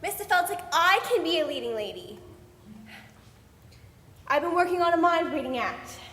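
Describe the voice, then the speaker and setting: A woman's voice: very high-pitched, expressive, moderate pace, a bit bright. A woman playing a ditzy, eager showgirl who pesters and shows off, in broad stage musical comedy style. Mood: eager, insistent. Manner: pestering, showy. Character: ditzy, eager showgirl desperate to be a leading lady. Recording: echoey hall, faint background noise.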